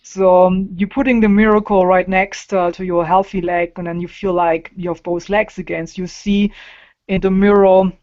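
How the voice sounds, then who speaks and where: A woman with a German accent, a native German speaker, answering interview questions casually in a halting way, often repeating words and using non-native phrasing. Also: medium pitch, level tone, darkish tone, dull audio. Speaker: interview guest.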